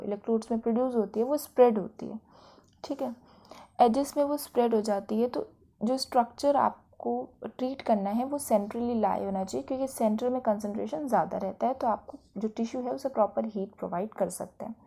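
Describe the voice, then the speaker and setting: Woman, Indian accent, higher-pitched, soft dark tone, didactic lecturer, explanatory delivery. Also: brisk, gravelly. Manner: didactic, with frequent check-in tags. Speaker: physiotherapy lecturer.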